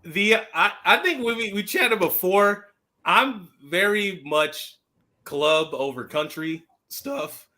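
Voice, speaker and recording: A man in a casual sports chat, talking as a fan panelist in an animated, slangy way with emphatic repetition. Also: high-pitched, slow. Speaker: football fan podcast panelist.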